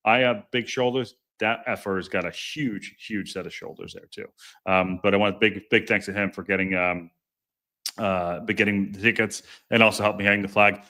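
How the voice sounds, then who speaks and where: A man hosting a podcast, chatting casually and jokingly with live viewers in a deep, strong voice, often stumbling and restarting his sentences. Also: steady tone, moderate pace, sharp tone. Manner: rambling, self-interrupting, stumbles over words; chatty and jokey. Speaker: podcast host. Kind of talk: casual live-stream chat reading viewer comments.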